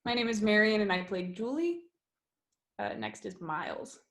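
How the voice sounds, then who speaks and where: Hesitant woman with a mid-pitched voice. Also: animated, moderate pace, gravelly. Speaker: actor moderating a cast discussion. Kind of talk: casual chat.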